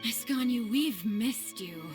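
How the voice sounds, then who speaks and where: A woman voicing a weary, adoring secretary in an anime video game, effusive and drawn-out, with music behind. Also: a little high, animated. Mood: relieved, adoring.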